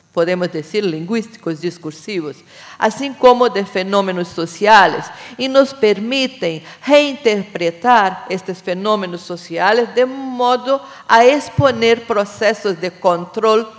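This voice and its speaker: A middle-aged woman, a native Portuguese speaker with a Brazilian accent, gives an academic lecture in Spanish. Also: mid-range voice. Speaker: plenary speaker. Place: large brick-walled university auditorium. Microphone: desk gooseneck microphone. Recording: long reverberant auditorium sound.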